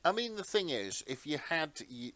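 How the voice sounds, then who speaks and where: Male co-host, very varied pitch, reactive casual chat, dry recording. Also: big pitch swings, normal speed, a bit bright, dry recording. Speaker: podcast co-host.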